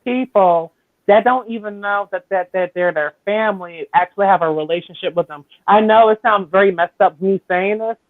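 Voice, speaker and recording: A woman's voice: mid-range voice, moderate pace, dry recording. A woman with a South Carolina and Syracuse accent, chatting casually in a group call, repeating herself and trailing off mid-thought. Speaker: guest on a group chat. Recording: tinny phone-line sound.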